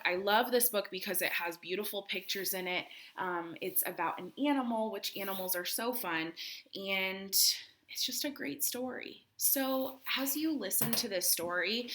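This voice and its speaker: A woman speaks as a primary school teacher leading storytime. Her pitch is average and her pace is slow. She addresses young listeners directly, mixing reading with guiding questions and prompts. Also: bright, forceful tone. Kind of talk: read-aloud storytime for young children.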